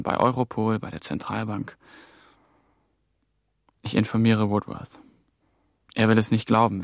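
A young male trainee on the run, telling his story in a low, tense inner voice. His tone is gravelly and very dry, as if he is close to the mic in a dead room. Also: no echo. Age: young adult. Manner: tense, hushed inner narration. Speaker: narrator and protagonist. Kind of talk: radio drama inner monologue.

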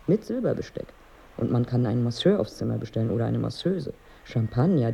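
Wife, radio drama. Dark, soft-edged low voice, dry irony, long-suffering and needling. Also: female voice, slight background noise. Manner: ironic, brooding, needling. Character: wry, frustrated wife of eight years, musing aloud. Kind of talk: radio drama dialogue and monologue.

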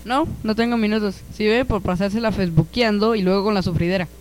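Young man as a sarcastic teen boy, high voice, street noise behind. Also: fast, lightly acted. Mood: teasing. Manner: teasing, explanatory, a bit sarcastic. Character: teasing, know-it-all teenage boy. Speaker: actor in a youth radio drama.

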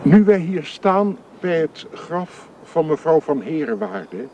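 A Protestant minister at a funeral in a radio play. His voice sits a little high for a man and moves very slowly, with grave, measured oratory. There is faint noise on the recording. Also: sing-song, mellow, exaggerated voice. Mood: solemn preaching.

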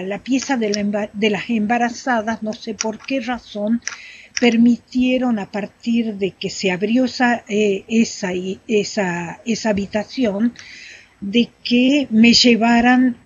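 Halting, husky older woman. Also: medium pitch, darkish tone. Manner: halting, with frequent filler words and pauses. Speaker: witness giving testimony. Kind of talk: spoken testimony. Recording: dull, lo-fi testimony recording.

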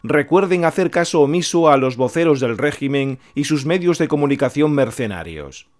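A man's voice: fairly high pitch, animated, normal speed, a little mellow, lightly acted. A man playing a grave exile radio newsreader. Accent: Spanish. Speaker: clandestine radio announcer. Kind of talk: radio drama acting, newsreader delivery.

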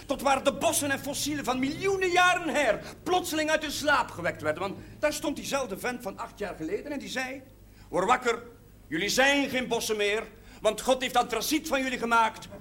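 A man's voice: very high-pitched, normal speed, bright, forceful tone. An actor playing a jovial middle-aged man giving a theatrical, anecdotal speech in a television drama. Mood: rousing, proud. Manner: rhetorical, anecdotal, swelling for emphasis. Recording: faintly noisy 1970s television soundtrack.

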